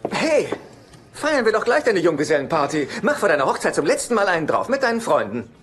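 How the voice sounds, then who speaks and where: A man's voice: high-pitched, big pitch swings, a little fast, fairly bright tone, slight background noise. An actor playing a fussy, anxious middle-aged divorced man in a German-dubbed sitcom, easily flustered. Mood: eager.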